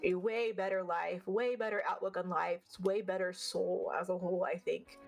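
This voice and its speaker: A woman with a deeper, unfeminine voice talks quickly and bluntly in a relaxed interview. She swears casually and trips into a slight stutter now and then. Faint music sits in the background. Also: creaky. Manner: fast, blunt, swears freely. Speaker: vtuber guest.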